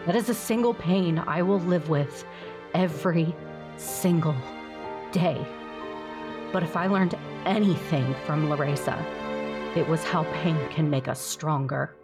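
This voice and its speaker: A woman playing a steadfast, quietly confident warrior in an audio drama, measured and earnest. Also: deep voice, music in the background. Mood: solemn.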